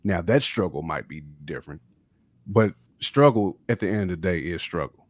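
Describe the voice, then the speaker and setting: Man, American accent, highly animated delivery, dry sound, emphatic opinionated panelist, slangy, repeats himself. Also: normal speed, no echo. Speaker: podcast panelist. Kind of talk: casual opinionated discussion.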